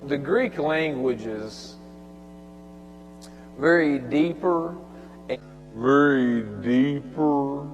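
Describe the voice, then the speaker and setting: Slowed-down sermon clip of a man. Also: mid-range voice, muffled recording. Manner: slow, dragged-out delivery. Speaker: pastor. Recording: sermon audio clip with long reverb and faint music.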